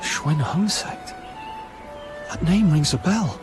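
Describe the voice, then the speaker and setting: Inquisitive young male adventurer, slightly high voice, thoughtful game dialogue, prominent music behind. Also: lively intonation, takes it slowly. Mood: curious. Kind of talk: video game character acting.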